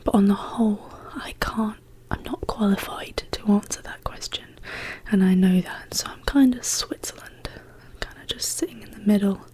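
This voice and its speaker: A woman speaking as an ASMR host in a hushed, whispered, casual question-and-answer style. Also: mid-range voice. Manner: slow, hushed, gently paced delivery.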